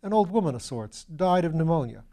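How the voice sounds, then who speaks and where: A man's voice: on the high side, expressive, brisk, dark tone, pitched above the performer's own voice. A man reading a storybook as a flustered, eager, self-deprecating young upper-class English student who talks in old-fashioned slang. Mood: recalling, eager.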